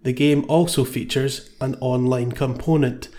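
A man's voice: medium pitch, steady tone, a little slow, mellow. A man taking part as a contestant in a comedy panel game, bantering and improvising reviews.

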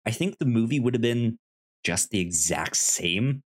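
A man co-hosting a movie podcast, talking casually and haltingly, often repeating words as he thinks aloud. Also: mid-range voice, lively intonation. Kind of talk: casual film discussion.

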